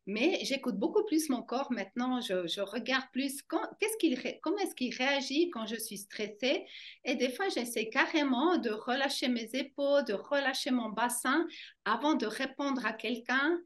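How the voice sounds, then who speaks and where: Woman, slightly high voice, animated, conversational personal account. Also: moderate pace. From German-speaking Switzerland. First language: German. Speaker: interview guest, fascia trainer.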